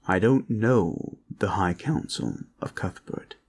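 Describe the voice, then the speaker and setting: Guy doing a protector roleplay, reassuring and polite, takes his time, gravelly fry in his voice. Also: low-pitched, animated, a little mellow. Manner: reassuring, courteous, measured. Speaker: roleplay voice actor, playing a gentle protector. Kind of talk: soft-spoken intimate audio roleplay.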